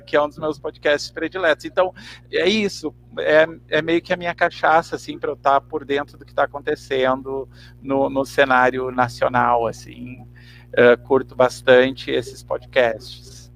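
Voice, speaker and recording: A man's voice: mid-range voice, level tone, a little slow, creaky, a bit bright. A man with a southern Brazilian accent chatting casually as a podcast guest, meandering and often checking for agreement. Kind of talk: casual interview chat. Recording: faint background noise and hum.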